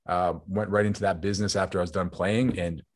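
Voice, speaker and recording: A man in his late twenties tells stories in a fast, easygoing ramble. He speaks in a low voice and often drops in "man". He is a former football player turned businessman, chatting casually as an interview guest. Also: little pitch movement. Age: 20s.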